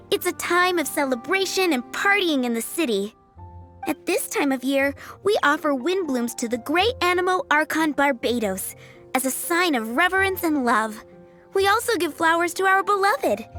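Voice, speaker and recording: A sweet young florist in a video game with a high, girlish voice. She is polite and chirpy, very lively in pitch, over faint background music. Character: sweet young flower shop girl, cheerful and polite. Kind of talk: video game character acting.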